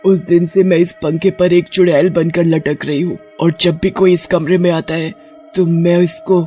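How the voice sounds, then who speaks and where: A woman voicing a vengeful witch ghost in an animated horror story, speaking in a menacing, theatrical way. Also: on the low side, normal speed, faint music behind, natural voice. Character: vengeful murderous witch ghost haunting a ceiling fan.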